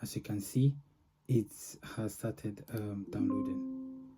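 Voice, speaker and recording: A man with a low, very mellow and dark voice walks through a software demonstration. He speaks informally and haltingly, often pausing mid-phrase as he explains each step. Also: fairly even intonation, breathy edge, soft background music, rough recording. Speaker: software developer presenting a demo. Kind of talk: informal product demo walkthrough.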